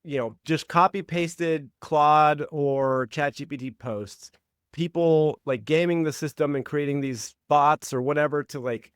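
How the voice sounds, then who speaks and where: A young adult man hosting a podcast, talking in a relaxed way and filling his speech with "like.". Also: on the high side, measured pace, smooth, no room sound, studio quality. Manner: relaxed, heavy use of "like", acts out quotes. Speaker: podcast host. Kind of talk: casual interview chat.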